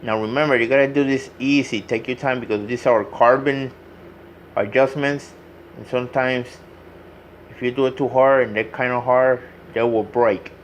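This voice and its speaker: A man talks through a hands-on demonstration in a mid-range voice. He is plain and instructive, often restating a step. A light, steady hum of room noise sits behind his words. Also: mid-range voice, moderate pace. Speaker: radio repair hobbyist demonstrating. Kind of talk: informal hands-on tutorial narration.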